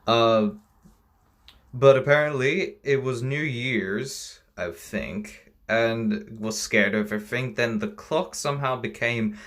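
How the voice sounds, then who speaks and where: A man's voice: medium pitch, slow, fairly bright tone. Man giving casual, unsure reaction commentary. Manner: hesitant, unsure, rambling. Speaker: reaction video host.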